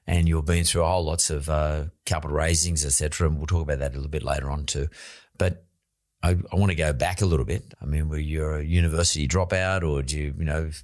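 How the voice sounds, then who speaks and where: Male podcast host, low-pitched voice, dry close sound, interview style. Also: no echo.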